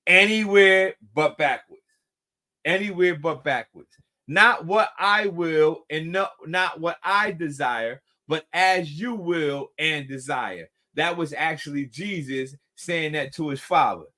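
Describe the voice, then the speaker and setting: Casting note: middle-aged male recovery group leader, preachy and repetitive, calls the room y'all, voice a touch higher than most men. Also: slow pace, sharp tone. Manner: preachy, repeats phrases for emphasis; addresses listeners as y'all. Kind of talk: motivational talk.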